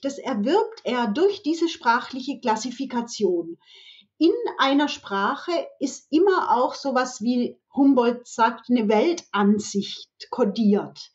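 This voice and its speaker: High-voiced female professor, slightly muffled. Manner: didactic, illustrates points with examples and rhetorical questions. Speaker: professor, expert guest. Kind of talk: explanatory interview talk.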